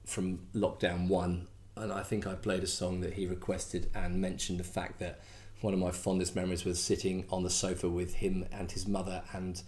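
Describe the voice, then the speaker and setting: A man's voice: deep voice, little pitch movement, normal speed, darkish tone. A middle-aged man from England hosting a casual request show, rambling and self-deprecating, full of fillers and restarts. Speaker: host. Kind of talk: casual chat.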